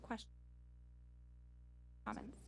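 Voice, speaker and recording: A woman's voice: takes it slowly, darkish tone, slight background noise. A woman speaking as a school staff member in an informal, explanatory meeting presentation, earnest and given to rhetorical questions.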